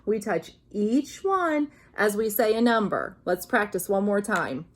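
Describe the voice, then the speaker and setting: A woman reading aloud to young children in an expressive, sing-song manner, like a teacher guiding them through a picture book. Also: mid-range voice, unhurried. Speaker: children's story reader, teacher.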